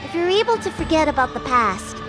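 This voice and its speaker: A woman's voice: high-pitched, very animated, fairly quick, music in the background. A woman voicing an earnest, perky young girl healer in a video game, polite and matter-of-fact. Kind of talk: animated video game voice acting.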